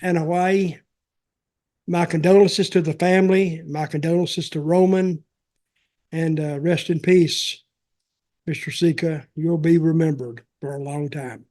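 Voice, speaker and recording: A man's voice: on the high side, steady tone, measured pace, darkish tone. An older man telling anecdotes casually, in a rambling, folksy and wry way. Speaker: podcast host, former wrestling performer.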